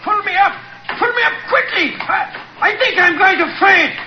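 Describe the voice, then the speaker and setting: An actor playing a terrified man hung by his ankles, yelling and pleading in panic in a 1940s radio drama. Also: very high-pitched, normal speed, very noisy. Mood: panicked. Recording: muffled old-time radio recording.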